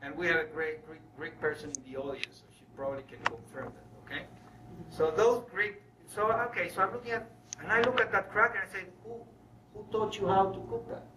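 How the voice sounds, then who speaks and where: A man from Venezuela giving a spiritual talk to an audience, telling personal stories in an animated way and acting out bits of dialogue. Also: fairly high pitch, quick pace. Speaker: guest lecturer, spiritual speaker. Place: room with an audience. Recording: roomy, distant mic, faint noise.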